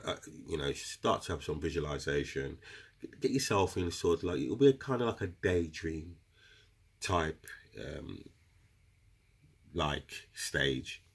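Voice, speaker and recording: A man with a very deep, low voice and a husky edge, stuffy from a cold and a runny nose. He talks casually and directly to his viewers, explaining a practice step by step like a relaxed teacher. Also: a little slow. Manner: chatty, addresses viewers as guys. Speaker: crystal seller explaining meditation. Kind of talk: casual instructional video talk.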